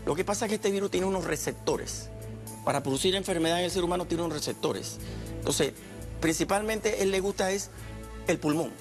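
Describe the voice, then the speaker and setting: A middle-aged man with a gravelly, slightly high voice talks as a doctor on a morning TV set. He explains each point calmly and simply. Faint music sits under his lapel mic. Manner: measured, explains in plain terms. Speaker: infectious disease doctor guest. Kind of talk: explanatory TV interview. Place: TV studio.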